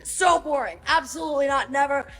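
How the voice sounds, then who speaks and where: A woman's voice: high-pitched, fairly quick, fairly bright tone. A young woman talking in a bubbly, emphatic way in a clip. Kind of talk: casual clips from interviews and social videos. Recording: clip with long reverb and faint music.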